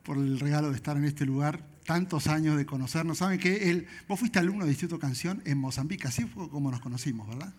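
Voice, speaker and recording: Rioplatense pastor interviewing, slightly high. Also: male voice, quick pace, hardly any pauses, a little mellow. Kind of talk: church interview.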